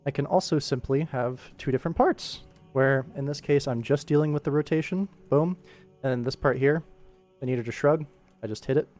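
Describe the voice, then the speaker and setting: A man's voice: mid-range voice, normal speed, darkish tone, faint music behind. A man hosting a casual animation work stream, talking informally to viewers as he narrates and explains what he is doing. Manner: informal, addresses viewers, narrates actions with sound-effect words. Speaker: animator and livestream host.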